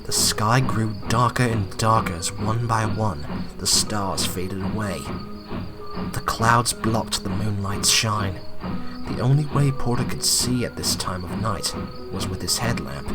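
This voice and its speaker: A man's voice: fairly low pitch, a little slow, close to an everyday voice. A man narrating a spooky railway audio drama as a scripted storyteller. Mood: measured, ominous. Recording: loud music under the voice.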